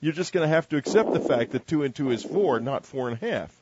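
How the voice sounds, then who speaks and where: A man interviewing in a measured, literary way, deliberate and breaking his phrases with pauses. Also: fairly high pitch, animated, lo-fi sound, noisy background. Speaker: radio interviewer.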